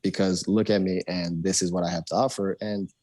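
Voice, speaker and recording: Fast-talking young man, deep-ish voice. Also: flat delivery, darkish tone, no room sound. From Argentina. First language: Spanish. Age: young adult. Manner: fast, rambling, many restarts and fillers. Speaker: podcast guest. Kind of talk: casual interview chat.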